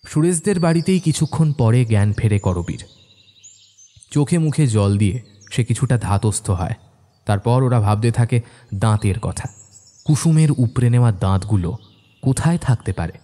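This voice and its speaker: A man's voice: mid-range voice, warm tone. A man narrating a scripted horror story in a storyteller's delivery. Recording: music under the voice.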